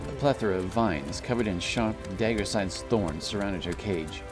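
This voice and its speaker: A man with a low voice narrates a fantasy adventure. The delivery is scripted, dramatic storytelling, set against prominent music. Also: normal speed.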